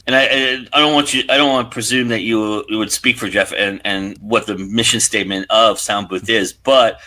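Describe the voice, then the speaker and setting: A man's voice: on the low side, sharp tone, poor audio. A man hosting a casual interview, appreciative and wordy as he circles toward his questions. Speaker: host, interviewer.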